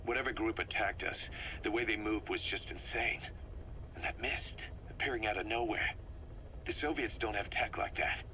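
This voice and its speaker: Deep, forceful mercenary commander. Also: male voice, rough recording. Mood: wary, urgent. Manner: grim, intense, deliberate storytelling. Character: embittered, driven male mercenary commander briefing his boss. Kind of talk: dramatic video game voice acting, recounting events. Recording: faint noise, cassette tape recording.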